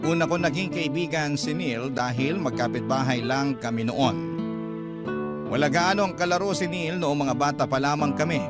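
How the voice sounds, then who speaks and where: A man's voice: fairly high pitch, moderate pace. Filipino male storyteller over guitar music. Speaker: radio drama narrator. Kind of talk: dramatic scripted first-person narration. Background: plucked guitar music.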